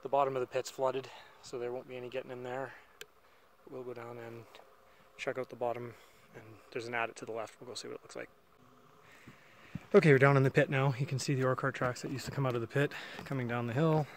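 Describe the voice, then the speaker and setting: A man's voice: medium pitch, fast talker, slight background noise. Man narrating a mine walkthrough outdoors. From Canada. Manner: relaxed, thinking aloud with fillers. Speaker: mine explorer filming a walkthrough. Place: outdoors in forest and an old mine tunnel.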